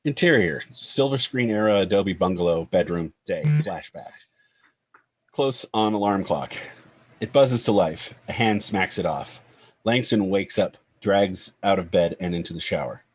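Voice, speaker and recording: A man reading screenplay stage directions as narrator in a scripted style, with a husky voice. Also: sits low, expressive, unhurried.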